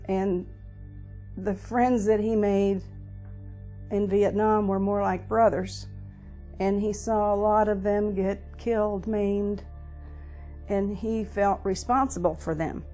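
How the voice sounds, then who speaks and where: Mellow older American woman, reflective and halting. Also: mid-range voice, steady tone. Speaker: interviewee, veteran's wife. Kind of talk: personal recollection in an interview. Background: music under the voice.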